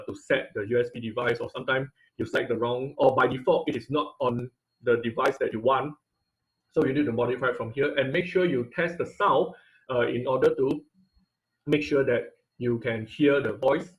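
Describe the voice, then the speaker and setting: A man's voice: medium pitch, low-quality recording. Man teaching an online class. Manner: explanatory, many fillers and restarts. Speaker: instructor. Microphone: computer mic on video call.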